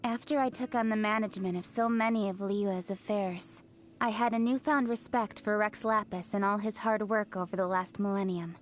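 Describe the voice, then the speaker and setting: A woman voicing a confident, brisk, principled young female city official in a video game, articulate and businesslike. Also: mid-range voice, steady tone, slight background noise, natural voice. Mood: reflective, sincere. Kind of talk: scripted video game character voice lines.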